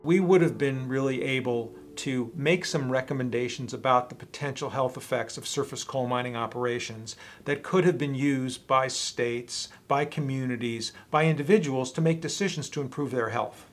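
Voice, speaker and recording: A man's voice: mid-range voice, normal speed, hardly any pauses. A man in his fifties speaking as a public health expert in a documentary interview in an office. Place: small office.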